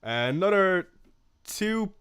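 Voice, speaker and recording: A young man with a Waterford Irish accent, hosting a casual fantasy football livestream, chatting with viewers and answering comments with plenty of fillers and soft laughs. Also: high voice, very animated, slow pace, smooth. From Ireland. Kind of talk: casual live-stream chat reading comments.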